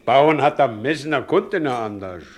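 A man's voice: mid-range voice, lively intonation, normal speed, lightly acted. Casting: male actor for blunt, stubborn old haulier in a radio play, broad homely Silesian speech. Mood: firm, gruff. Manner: blunt, homely dialect talk. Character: stubborn, plain-spoken ageing carter, head of his household. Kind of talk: stage-style German radio drama acting.

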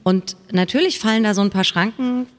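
A woman's voice: mid-range voice, brisk. A woman author speaking conversationally in a panel discussion, emphatic and repeating phrases for weight.

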